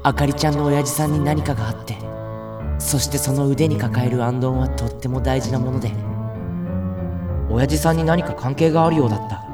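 A man acting an ordinary, excitable young big-brother type in a Japanese audio drama, chatty and comically flustered, with first-person narration. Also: mid-range voice, brisk, recorded from a distance, over music, lightly acted. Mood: hushed narration.

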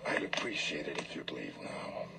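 An actor in a radio drama playing a gentle, weary old man, soft-spoken, polite and measured, with a gravelly voice. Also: extremely low pitch, very animated, full character voice. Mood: uneasy. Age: older. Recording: music bed under dramatized dialogue. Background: loud music.

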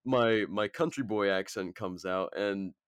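A man's voice: fairly low pitch, a little slow, fairly bright tone, clean tone, no echo. Laid-back brit guy, accent half gone american, swears a lot, dry close sound. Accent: British English blended with American. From rural England. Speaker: podcast host. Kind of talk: casual podcast chat.

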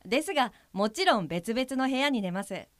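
Actress as matter-of-fact care guide, polite, explanatory, fairly high voice, dry close radio drama sound. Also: female voice, lively intonation, moderate pace, no room sound, natural voice. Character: earnest, matter-of-fact female guide helper for blind people. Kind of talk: audio drama dialogue.

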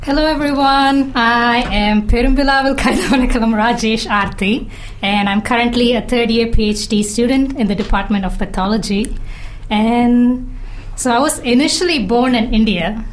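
Soft-spoken young woman from Malaysia. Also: a little high, moderate pace. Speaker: podcast guest, PhD student. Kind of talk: casual conversation. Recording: faint background noise.

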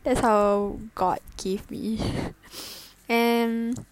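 A twenty-year-old woman, introducing her first podcast in a halting, self-conscious way, full of fillers and giggles. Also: a little high, fairly even intonation. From Malaysia. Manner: hesitant, halting, with many fillers and self-corrections. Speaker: student podcaster. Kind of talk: casual solo podcast intro.

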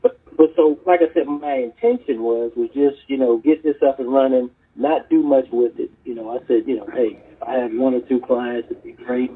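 Husky-voiced man on a tinny phone line. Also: mid-range voice, steady tone, moderate pace. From South Carolina, US. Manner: earnest, storytelling, many fillers and restarts. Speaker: guest, retired police chief and leadership coach. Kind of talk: casual podcast interview.

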